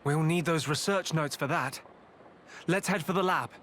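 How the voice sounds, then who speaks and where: A man's voice: a little high, moderate pace, a bit bright, a little hiss or hum, close to an everyday voice. A man playing an earnest, thoughtful young hero in a video game, plain-spoken and determined. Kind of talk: video game cutscene acting.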